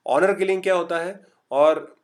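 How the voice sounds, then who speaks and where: A man's voice: high voice, steady tone. A man from Maharashtra with a slight Marathi accent, teaching a live online class in a chatty style and mixing in English. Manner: chatty, reads out students' typed replies; urges quick answers, mixes English. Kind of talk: online lecture.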